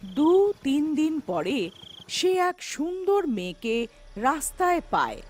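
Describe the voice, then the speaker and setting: A woman's voice: sits high, big pitch swings, slow, faint music behind. A woman narrating a scripted horror folktale as storyteller.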